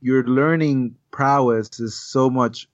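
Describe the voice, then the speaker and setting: A man's voice: mid-range voice, fairly even intonation, takes it slowly, dry recording. Man on a dull dry line. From Puerto Rico. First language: Spanish. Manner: earnest, repeats words while thinking. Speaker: game designer guest. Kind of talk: casual podcast chat. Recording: dull, dry podcast audio.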